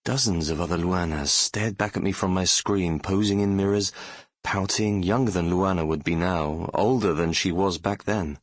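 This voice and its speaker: An actor playing a nostalgic, regretful middle-aged doctor, narrating an audiobook in a measured, reflective way. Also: male voice, low-pitched, no room sound, clean studio sound. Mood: pensive. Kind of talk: first-person audiobook narration.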